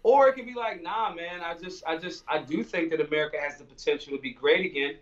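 A man's voice: on the high side, quick pace, a bit bright. A man in his forties, a guest on a video call, talking emphatically and acting out quoted exchanges. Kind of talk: casual video-call chat. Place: small room indoors. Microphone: video call webcam. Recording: muffled distant video-call audio.

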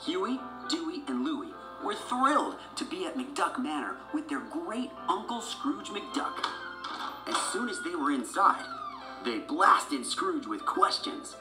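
A man narrates a children's story with a very lively, expressive melody at middle pitch. The recording is roomy, and music plays behind him. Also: takes it slowly.

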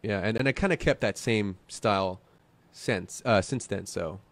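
A man's voice: fairly low pitch, moderate pace, no room sound. A man talking easily on a podcast as a band's singer, laughing often and filling with "you know", at a desk mic in a small studio. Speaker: band vocalist guest. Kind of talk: casual podcast chat. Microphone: desk mic with headphones.

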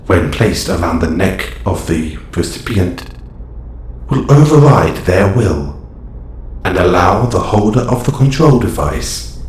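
A man playing a calm, formal robot superhero with quiet menace, speaking in stiff, precise phrases in an audio roleplay. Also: sits low, a little slow. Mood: formal, guarded. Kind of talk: scripted audio roleplay acting. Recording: echoey, faint background ambient noise.

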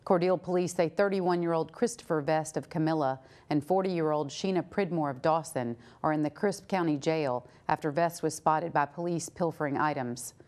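A woman's voice: sits low, normal speed, hardly any pauses, darkish tone. A middle-aged woman anchoring a newscast, reading scripted news in a studio.

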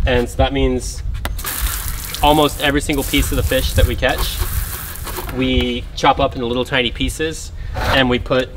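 A man's voice: mid-range voice, flat delivery, normal speed, pauses often, background noise. A young man chatting casually to camera on a sailboat deck, laid-back and swearing with a laugh. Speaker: sailing vlog crew member. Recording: music playing behind.

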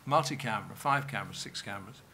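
An older man, a director, speaking enthusiastically with halting restarts on a stage panel, into a table mic. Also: lowish voice, expressive, pushed and bright, a little hiss or hum. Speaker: television director.

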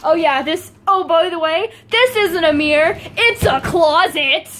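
A young woman vlogging in a bubbly, giggly, excitable way, filming herself on a phone held at arm's length in a car and a small hotel room. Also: very high-pitched, measured pace. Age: young adult. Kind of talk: casual vlog chat.

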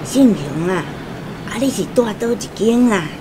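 A woman's voice: mid-range voice, lively intonation, moderate pace, background noise, close to an everyday voice. An actress playing a stubborn, anxious old grandmother in a radio drama, with a Taiwanese accent and a plaintive, complaining delivery. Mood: grumbling. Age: older.

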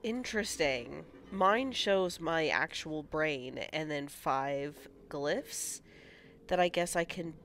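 A woman's voice: low voice, animated, takes it slowly, fairly bright tone. A woman with a stuffy cold voice, casually commentating a game and reading menu options aloud. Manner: reads game menus aloud and muses over options. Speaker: gaming channel host. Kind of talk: casual let's-play commentary.

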